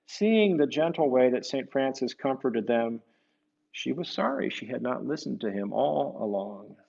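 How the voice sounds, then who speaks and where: A man reading a picture book to children, gently explaining it and often tagging questions onto his remarks. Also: mid-range voice, animated, unhurried, vocal fry, muffled recording. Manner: gentle, explanatory, asks the listeners tag questions. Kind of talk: storybook read-aloud with conversational asides.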